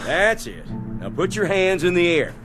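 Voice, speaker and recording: A man's voice: high voice, big pitch swings, a little slow, music in the background. An actor playing a lawman barking commands. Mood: commanding. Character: commanding lawman shouting orders.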